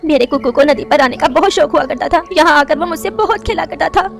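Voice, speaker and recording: A woman's voice: high voice, brisk, creaky, faint music behind. A woman playing a grieving mother sparrow in a melodramatic cartoon story, plaintive and lamenting. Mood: heartbroken. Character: grieving mother sparrow, heartbroken, mourning her dead child.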